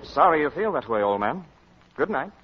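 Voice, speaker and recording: An actor playing a polite, unflappable young lawyer with a formal, measured manner in a 1940s radio comedy. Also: male voice, a little high, big pitch swings, slightly rough, long reverb tail. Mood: courteous. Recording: muffled 1940s radio recording.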